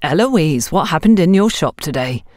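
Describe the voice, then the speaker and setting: A woman's voice: low-pitched, animated, normal speed, a little mellow, no room sound. Woman acting plump middle-aged guard, male, lazy, audiobook character, dry close sound. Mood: inquiring.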